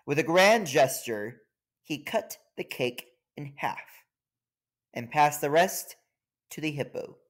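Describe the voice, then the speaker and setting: Slow, animated man reading a storybook. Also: a little high. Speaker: storybook reader. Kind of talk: expressive scripted children's story narration.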